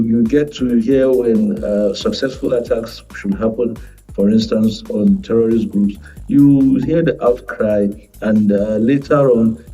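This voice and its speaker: A man with a Nigerian accent giving formal analysis as a panel guest, in measured, wordy, halting phrases. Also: lowish voice, mellow. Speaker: guest governance commentator. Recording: muffled distant microphone, faint music behind.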